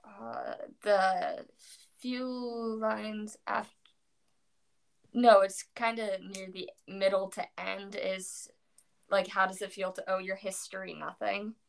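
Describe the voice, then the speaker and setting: A woman's voice: medium pitch, flat delivery, slow pace, vocal fry. A young woman chatting casually about poetry, rambling with many fillers and self-corrections, sounding tired. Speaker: co-host discussing poetry. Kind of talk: casual chat.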